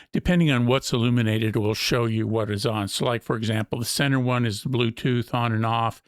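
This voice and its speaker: Male product reviewer, mid-pitched, methodical explainer talking through features off the cuff, recorded dry. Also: clean tone, dry recording. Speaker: gadget review host. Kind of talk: unscripted product walkthrough.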